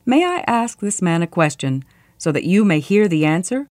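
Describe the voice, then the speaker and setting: Woman as a shrewd royal minister, lively and pointed. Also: lowish voice, moderate pace, no echo, clean studio sound. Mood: probing. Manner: pointed, rhetorical questioning.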